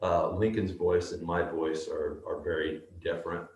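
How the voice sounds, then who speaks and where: A male actor with a deep bass voice, answering interview questions slowly and thoughtfully, with many pauses and restarts. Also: flat delivery, reverberant, highs cut off, a little hiss or hum. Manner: slow, thoughtful, halting.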